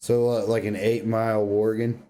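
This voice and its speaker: A man's voice: on the low side, little pitch movement, normal speed, warm tone. A man co-hosting a casual gaming podcast, talking bluntly. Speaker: podcast co-host.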